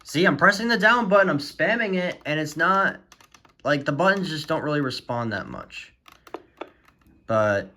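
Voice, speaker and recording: A man talking casually in a halting, rambling way as he presents his collection in a vlog. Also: on the high side, lively intonation, sharp tone, slight background noise. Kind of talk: casual show-and-tell vlog.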